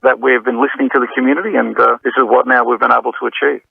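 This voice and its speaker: A man's voice: mid-range voice, level tone, rapid-fire, gravelly, very noisy. A man, a health executive, giving an informal interview comment. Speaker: health service chief executive. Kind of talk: interview soundbite. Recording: dull band-limited phone-like audio.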